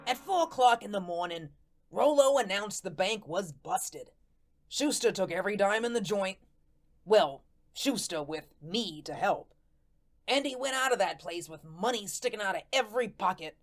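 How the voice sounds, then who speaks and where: A woman's voice: medium pitch, animated, normal speed, close to an everyday voice. A woman playing a wry, good-natured ghost who narrates a radio play in slangy, streetwise, colloquial style. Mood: wry storytelling. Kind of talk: first-person radio play storytelling.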